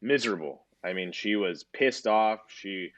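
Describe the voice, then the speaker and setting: A man's voice: lowish voice, lively intonation, a little slow, fairly bright tone, lo-fi sound. A man in his twenties co-hosting a podcast, telling a long story in casual chat with lots of swearing and filler words. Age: 20s. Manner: rambling, swears a lot, many fillers. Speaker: podcast co-host.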